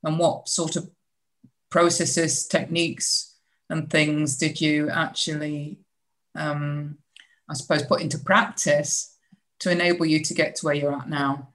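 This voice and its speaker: Low-voiced woman hosting a podcast. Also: little pitch movement, measured pace, a bit bright. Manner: upbeat, probing questions with restarts. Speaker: podcast host. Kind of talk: interview podcast.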